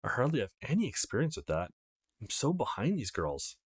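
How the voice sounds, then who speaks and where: A man's voice: medium pitch, big pitch swings, brisk, breathy edge, no room sound, clean studio sound. A man voicing a feisty, foul-mouthed teenage girl in a visual novel, brash and confrontational. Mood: earnest. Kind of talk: acted visual novel read-aloud.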